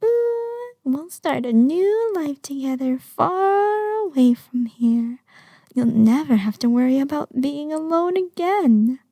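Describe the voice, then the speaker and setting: Slow, sweetly menacing lovesick woman acting a kidnapper girl. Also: high voice, expressive, mellow, dry recording, studio quality, full character voice. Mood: possessive, doting. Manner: sweetly menacing, slow, breathy murmurs with sighs. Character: obsessive lovesick young woman, soft-spoken menacing kidnapper. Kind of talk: intimate ASMR audio roleplay monologue.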